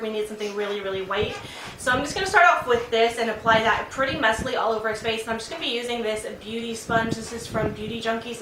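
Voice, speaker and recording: A woman's voice: a little high, background noise. A woman hosting her beauty vlog in a casual, chatty way with lots of ums and giggles, sounding stuffed up from a cold. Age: adult aunt of a teen. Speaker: beauty vlogger host. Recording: roomy sound, mic at a distance, faint music.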